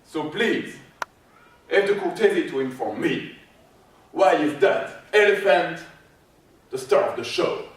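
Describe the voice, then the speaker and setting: A man's voice: high-pitched, expressive, measured pace, long pauses. A man playing a haughty, indignant circus performer in a theatrical monologue, pompous and emphatic, in an echoey distant recording. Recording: very echoey stage from far off.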